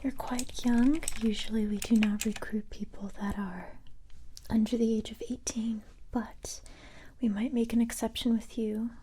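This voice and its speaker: A woman voicing a calm, sympathetic female special-forces recruiter in a soft-spoken ASMR roleplay, gentle and reassuring in a breathy whisper. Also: fairly high pitch, unhurried, slight background noise, close to an everyday voice. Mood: calm, businesslike. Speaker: ASMR creator playing a roleplay character.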